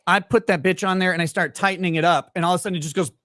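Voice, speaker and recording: A man hosting a livestream, telling profane, animated stories in casual banter. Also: sits high, racing, no echo, studio quality. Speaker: host. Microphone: desk mic on an arm.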